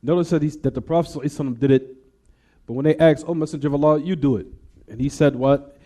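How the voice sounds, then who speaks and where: Fast-talking man teaching a hadith lesson. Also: fairly high pitch, dark tone. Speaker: Islamic teacher.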